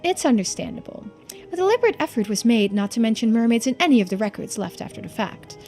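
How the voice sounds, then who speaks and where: A woman's voice: fairly high pitch, big pitch swings, fast talker, a little mellow, soft background music. A woman voicing a long-lived, wistful keeper of mermaid lore in a game, explaining in a musing way. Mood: remembering, wistful.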